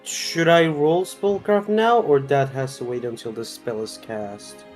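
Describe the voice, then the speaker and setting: A man chats as a tabletop player in a halting, tentative manner. His voice sits at a middle pitch, with faint music behind it. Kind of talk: casual game chat. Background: faint background music.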